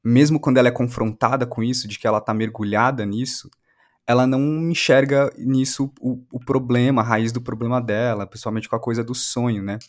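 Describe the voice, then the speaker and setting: A man's voice: mid-range voice, fairly even intonation, fairly quick. A man with a Brazilian Portuguese accent interviewing in a conversational, analytical way, building his questions step by step. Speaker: interviewer, podcast host.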